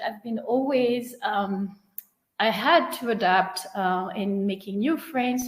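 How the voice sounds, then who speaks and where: A woman's voice: medium pitch, reverberant. A woman in her thirties with a French accent, telling her career story as a webinar guest speaker. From France. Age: 30s. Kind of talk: webinar presentation.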